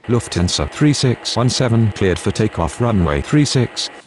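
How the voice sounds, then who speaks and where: A man's voice: lowish voice, sing-song, moderate pace, slight background noise. A man playing a businesslike airline radio voice, giving formal, clipped scripted phraseology. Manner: formal, procedural. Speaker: pilot and air traffic controller voices.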